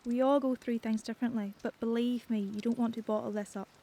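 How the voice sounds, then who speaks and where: Woman playing a husky, soothing Northern Irish survivor. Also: on the high side, fairly even intonation, quick pace, dark tone. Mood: gentle, consoling. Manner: soothing, reassuring. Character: gentle motherly survivor comforting a traumatised child.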